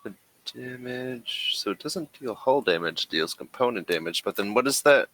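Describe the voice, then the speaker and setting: A man running a tabletop game as game master, talking casually and haltingly as he thinks rules through aloud. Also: medium pitch, expressive, a bit bright, slight background noise, poor audio.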